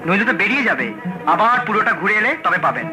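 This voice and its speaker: An actor playing an earnest, helpful young sidekick in an old radio play. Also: male voice, sits high, big pitch swings. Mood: urging. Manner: brisk, earnest. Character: eager young male sidekick, helpful and quick. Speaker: Topshe. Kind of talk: 1970s radio drama acting. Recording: old radio broadcast with music.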